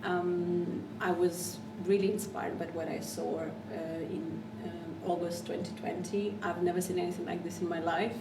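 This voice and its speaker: A Belarusian woman in her thirties, a guest and association co-founder, speaking earnestly and in a measured way with frequent ums. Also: on the low side, flat delivery. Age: 30s. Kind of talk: earnest personal account. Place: café. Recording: far-off mic in an echoey café with noise.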